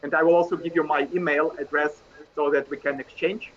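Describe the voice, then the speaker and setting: A man's voice: on the high side, steady tone. Polite man on a video call. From Russia. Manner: polite, halting, with fillers. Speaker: workshop presenter, math teacher. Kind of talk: online workshop talk. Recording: dull video call audio with faint noise.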